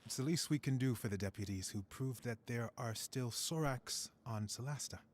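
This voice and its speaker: A man's voice: mid-range voice, moderate pace, lightly acted. An actor voicing a grave, formal male marshal in a fantasy video game. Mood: solemn.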